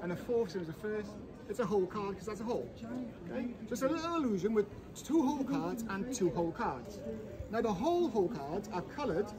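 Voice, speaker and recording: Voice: medium pitch, big pitch swings, brisk. Street magician's patter amid a crowd. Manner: wordplay-heavy patter, keeps checking in with "okay?". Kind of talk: card trick patter to onlookers. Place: outdoor city street. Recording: street recording with a crowd nearby.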